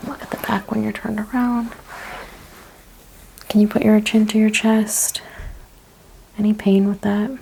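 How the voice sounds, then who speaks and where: A woman speaking in a gentle, hushed, partly whispered ASMR roleplay style, asking questions as a chiropractor. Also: mid-range voice, little pitch movement, a little slow, gravelly, pauses often, slight background noise, rough recording. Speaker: ASMR host playing a chiropractor. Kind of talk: soft-spoken ASMR roleplay.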